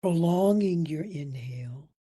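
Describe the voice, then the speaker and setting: A woman leading a guided meditation as instructor, soft and breathy, soothing and gentle, leaving long pauses between phrases. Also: low-pitched, expressive. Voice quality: soft, breathy, at times near whisper.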